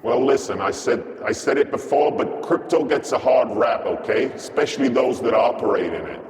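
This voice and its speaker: A man's voice: mid-range voice, normal speed, a little mellow, lightly acted. An actor playing a secretive, disguised male informant who puts on an American accent and talks bluntly. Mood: guarded. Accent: put-on American. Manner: guarded, blunt, swearing. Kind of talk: mockumentary film acting. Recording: echoey hall from far off.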